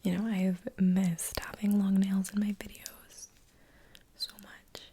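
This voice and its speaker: A young woman in a soft-spoken, whispery ASMR chat, breathy and casual, rambling along as host. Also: medium pitch, level tone, a little slow.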